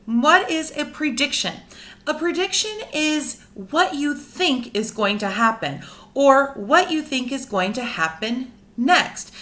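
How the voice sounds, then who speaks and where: Animated high-voiced woman teacher. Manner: animated, simple questions and explanations to children. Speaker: teacher of a young class. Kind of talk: read-aloud lesson for children. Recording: roomy, echoing indoor sound.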